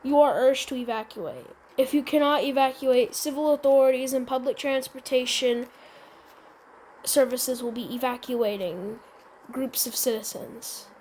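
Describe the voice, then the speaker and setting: High-pitched, halting amateur woman announcer. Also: darkish tone, slight background noise, low-quality recording. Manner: halting, with frequent stumbles and repeated phrases. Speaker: announcer in a hobby emergency alert scenario. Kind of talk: improvised emergency news announcement, amateur role-play.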